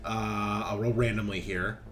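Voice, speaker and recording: A man's voice: on the low side, fairly even intonation, unhurried, a bit bright. A man running a tabletop game as game master, casually narrating and thinking aloud with informal, sweary phrasing. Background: game background music.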